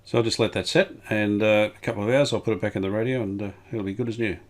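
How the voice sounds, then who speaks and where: A man's voice: lowish voice, fairly even intonation, quick pace. A man giving casual, unscripted commentary on a hands-on repair job in an understated, matter-of-fact way. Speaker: restorer presenting a hands-on vintage radio repair.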